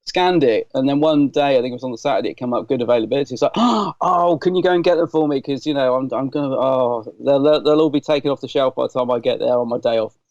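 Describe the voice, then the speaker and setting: A middle-aged British man with a slightly croaky, dry throat, chatting casually and enthusiastically as a podcast host. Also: medium pitch, fast talker, few pauses, darkish tone, dry recording, lo-fi sound. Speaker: podcast host reviewing Doctor Who. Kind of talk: casual chat.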